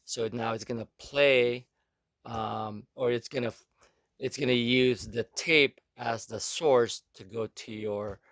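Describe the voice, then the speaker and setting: Casual man with a creaky, gravelly voice. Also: medium pitch, fairly even intonation, a bit bright, no echo, studio quality. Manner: halting, thinking aloud as he goes. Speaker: video tutorial host. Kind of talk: casual how-to demonstration.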